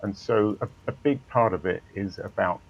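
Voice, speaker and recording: Low, gravelly male guest over dull voice-chat audio, easygoing explainer. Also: moderate pace, slight background noise. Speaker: guest, racing club organizer. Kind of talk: casual interview over voice chat.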